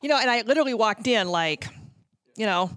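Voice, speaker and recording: A middle-aged American woman tells anecdotes as a podcast guest. Her delivery is breezy and very animated, slipping into acted-out quotes. The recording is dry and close. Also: fairly high pitch, brisk, dry recording. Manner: breezy storyteller who acts out quotes. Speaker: podcast guest, former radio promotions worker. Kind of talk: casual anecdotal chat.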